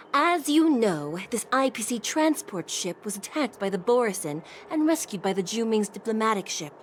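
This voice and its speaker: A woman's voice: on the high side, big pitch swings, normal speed, slight background noise. A woman playing a dutiful port official in a video game, speaking formally and insistently. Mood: businesslike. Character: earnest, dutiful female port commission official.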